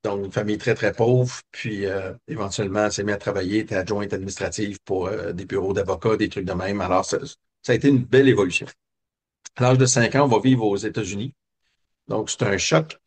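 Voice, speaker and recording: Un homme québécois, invité d'une entrevue, qui raconte son enfance d'un ton réfléchi, avec beaucoup d'hésitations. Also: male voice, lowish voice, steady tone, fairly quick, breathy edge. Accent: Québécois French. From Quebec. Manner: reflective, many hesitations and fillers. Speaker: guest. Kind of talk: personal storytelling interview.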